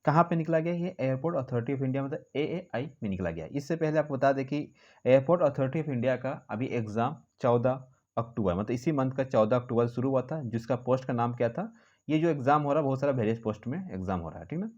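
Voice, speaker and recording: A man talks quickly in a medium-pitched voice, walking listeners through written details as an informal channel host. He repeats phrases and often adds little check-in tags. The recording sounds dull and muffled. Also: a little mellow. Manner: repetitive, with frequent check-in tags. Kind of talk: informal explainer, reading a notice aloud and commenting.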